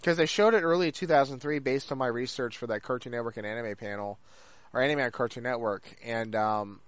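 A man's voice: mid-range voice, brisk, dry recording. A man chats casually as a podcast co-host, his voice slightly toward the middle of the male range. He rambles through his thoughts and quotes remembered remarks in a conversational way. The sound is dry, with very little room. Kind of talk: casual chat about anime.

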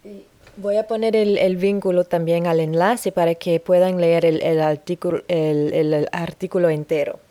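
A woman talking on her podcast in an unscripted monologue, halting, with frequent restarts and pauses. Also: fairly low pitch, fairly even intonation, dark tone, no room sound.

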